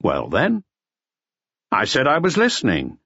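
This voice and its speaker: A man voicing a cool, gruff, unflappable police detective in an audiobook. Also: medium pitch, huge pitch swings, unhurried, bright, forceful tone, no room sound, lo-fi sound, pitched above the performer's own voice, heavily acted. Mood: calm, probing.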